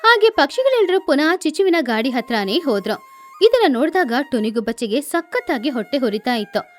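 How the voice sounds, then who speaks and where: A woman narrates a children's tale in a very high voice. Her pitch swings in a lively way, and music runs behind the narration. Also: normal speed. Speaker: story narrator. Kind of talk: scripted children's story narration.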